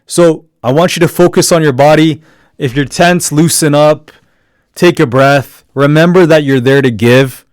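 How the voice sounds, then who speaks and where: A man with a deep, loud voice that comes from the diaphragm gives casual, encouraging advice, with many fillers and restarts. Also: no room sound, clean studio sound. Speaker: podcast speaker giving networking advice. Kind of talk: motivational talk.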